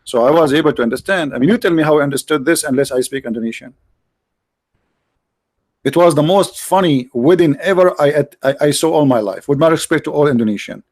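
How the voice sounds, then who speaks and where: A man's voice: a little high, brisk, a little mellow, no echo, clean studio sound. A man hosting a livestream, talking casually and sarcastically, with many restarts and fillers. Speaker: livestream host.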